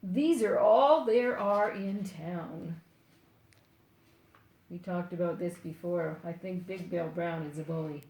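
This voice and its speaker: An older woman reading a children's book aloud expressively and deliberately, playfully speaking straight to young listeners. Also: lowish voice, darkish tone, roomy sound. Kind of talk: expressive picture-book read-aloud with asides.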